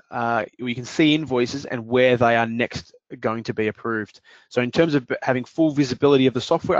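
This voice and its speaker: A man demonstrating invoice software step by step in a screen-recorded walkthrough, explaining calmly with the odd "uh" and "um". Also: mid-range voice, no echo. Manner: explanatory, steady, with fillers. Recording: dry, dull lo-fi voice-over.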